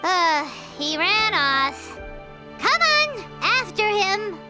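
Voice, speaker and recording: A woman voicing a tiny, cheeky, childlike female fairy in a video game, squeaky and blunt, with a perky, indignant manner. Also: very animated, very slow, exaggerated voice. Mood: urgent, perky. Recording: game music playing behind.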